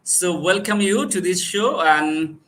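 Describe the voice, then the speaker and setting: A man hosting an online interview, speaking haltingly with many fillers and restarts. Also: high voice, fairly bright tone, low-quality recording. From Bangladesh. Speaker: host.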